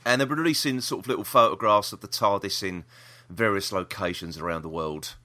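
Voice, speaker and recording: A man's voice: fairly low pitch, fairly quick, bright, forceful tone. A British man hosting a podcast, chatting casually about the news in a rambling, opinionated way. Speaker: podcast host.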